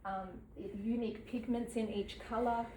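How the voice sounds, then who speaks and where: An Australian woman in her thirties talks about her work at a gallery event, pausing often and filling the gaps with ums. Her voice carries a roomy echo and sounds a little far from the mic. A faint hum of background noise sits under her speech. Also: a little high, steady tone. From New South Wales, Australia. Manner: halting, with many ums and soft laughs. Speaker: artist giving a talk. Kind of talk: unscripted artist talk. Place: gallery event space with an audience.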